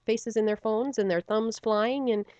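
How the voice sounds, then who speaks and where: A woman's voice: a little high, steady tone, unhurried, a little mellow, no room sound, highs cut off. A middle-aged woman, a stage actor, chatting animatedly in an interview, laughing often and using many fillers. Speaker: stage actor and theater company member. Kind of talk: radio interview, casual chat.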